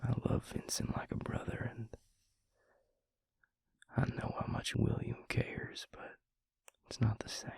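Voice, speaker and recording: A man playing a tender, weary vampire lover in an intimate audio roleplay, speaking haltingly in a hushed, breathy, gravelly voice. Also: dry recording, close to an everyday voice. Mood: raw, vulnerable. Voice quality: breathy, husky, gravelly half-whisper. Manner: hushed, halting, with long pauses.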